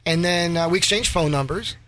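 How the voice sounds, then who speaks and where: A man guesting on a talk show, with a soft, breathy voice, telling a long story with many restarts. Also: fairly high pitch, fairly quick, slight background noise. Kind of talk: casual storytelling interview.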